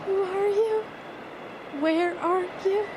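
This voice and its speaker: A woman's voice: very high-pitched, steady tone, slow pace. A woman playing a freezing, panicky bride-to-be lost in a blizzard in a horror audio drama, anxious and overwrought, in a very noisy recording. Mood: frightened, confused. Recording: very noisy, wind-covered outdoor scene.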